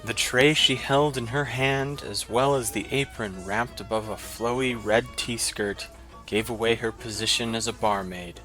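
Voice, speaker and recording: A man narrating a fantasy short story in an even, descriptive manner. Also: mid-range voice, a little slow, faint music behind, rough recording.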